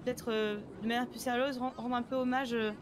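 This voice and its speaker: A woman playing an earnest young march leader in a roleplay game, speaking haltingly. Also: on the high side, level tone, lightly acted. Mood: earnest, solemn. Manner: hesitant, halting address. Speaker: online roleplay player. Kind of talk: improvised in-character speech. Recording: noisy game ambience behind.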